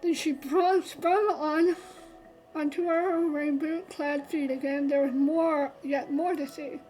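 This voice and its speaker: A woman's voice: high-pitched, fairly even intonation, slow pace, darkish tone, soft background music, poor audio. A woman streamer reading a visual novel aloud, stumbling and restarting often and adding chatty asides. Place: streaming setup.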